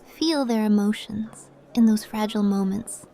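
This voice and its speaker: A woman playing a sly, composed sorceress in a fantasy audio drama, measured, mysterious and coy. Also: mid-range voice, vocal fry, warm tone, close to an everyday voice.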